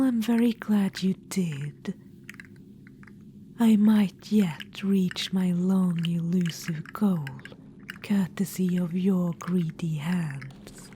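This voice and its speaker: An ancient undead witch-queen, voiced by a woman, whispers in a slow, mellow, slightly low voice, in the style of an ASMR audio roleplay. She is vain and scheming, condescending and coaxing, and calls the listener darling. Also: slight background noise.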